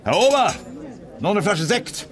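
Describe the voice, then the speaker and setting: A man's voice: high voice, big pitch swings, unhurried. A man playing a blustery, good-living army general in a children's audio drama. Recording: busy chatter behind the voice.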